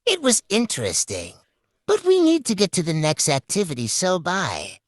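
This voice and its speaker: A woman with a low voice hosts a playful puppet show. Her delivery is theatrical and hugely varied in pitch, taken slowly, and the recording is dry. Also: wildly swooping pitch, dry recording. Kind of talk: playful improvised show hosting.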